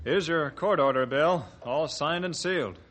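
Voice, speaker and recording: Actor as principled, folksy small-town judge. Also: male voice, fairly high pitch, moderate pace, fairly bright tone, faint music behind, natural voice. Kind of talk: 1950s radio drama acting. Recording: noisy old radio drama recording.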